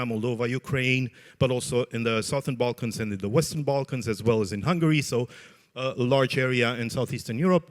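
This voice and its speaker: A man with a Romanian accent, presenting an investment fund in a stage pitch as a venture capitalist.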